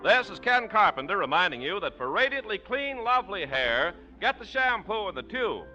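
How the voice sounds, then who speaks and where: A man's voice: sits high, sing-song, moderate pace, over music. A man announcing and reading sponsor messages in polished, emphatic 1940s radio style. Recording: dull 1940s radio recording.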